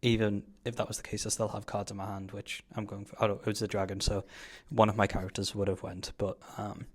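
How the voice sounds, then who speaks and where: Lower-pitched man casually commentating card gameplay. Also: level tone, fast talker. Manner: informal, slangy, explanatory. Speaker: card game player commentating his own match.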